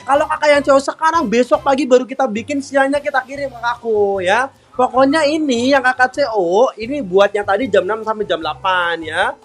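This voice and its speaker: Upbeat guy with a really high voice selling cakes on a live stream, faint music behind. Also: fairly quick. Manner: chatty and upbeat, constantly addressing viewers warmly. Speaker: live-stream sales host. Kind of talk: live-selling chat, reading out viewer comments.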